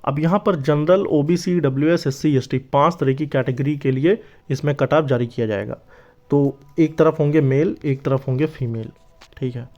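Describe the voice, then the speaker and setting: A man with a slightly high voice speaks as an online presenter. He explains things informally, talking straight to viewers in a familiar, brotherly way and often checking that they follow. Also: normal speed, darkish tone, highs cut off, faint music behind. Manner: familiar, brotherly direct address with repeated check-in tags. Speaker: YouTube presenter of exam updates.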